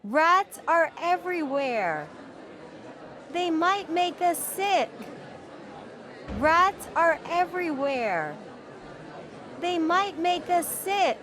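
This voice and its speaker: A woman's voice: very high-pitched, animated, extremely slow, long pauses, crowd noise, full character voice. A woman playing a worried townswoman in a children's storybook, enunciating carefully. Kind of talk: slow bilingual storybook dialogue.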